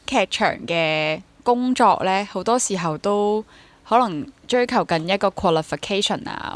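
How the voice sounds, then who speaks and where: A woman in her twenties with a Hong Kong accent speaks in a slightly low register. She answers as an interview guest in a casual, reflective way, mixing English words into her sentences. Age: 20s. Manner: mixes English words into speech. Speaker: interview guest, freelance stage actor and drama teacher.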